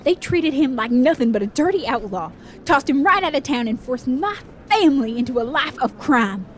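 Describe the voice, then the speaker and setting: A woman's voice: high-pitched, expressive, moderate pace. A woman playing a resentful, evasive outlaw forger in a western audio drama, theatrical in delivery, with background noise. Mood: bitter. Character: resentful, shifty Wild West outlaw forger.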